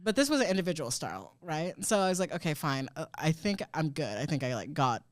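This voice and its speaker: A man's voice: fairly high pitch, big pitch swings, fast, dry recording, clean studio sound. A man co-hosting a podcast, chatting casually and rambling through stories with frequent laughs. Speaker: podcast co-host. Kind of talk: casual comedic chat.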